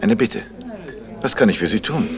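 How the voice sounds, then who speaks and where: An actor playing a suave middle-aged crime novelist with urbane, dry irony in a German radio drama from 1970. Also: male voice, fairly low pitch, animated, moderate pace, lightly acted. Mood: polite, reserved.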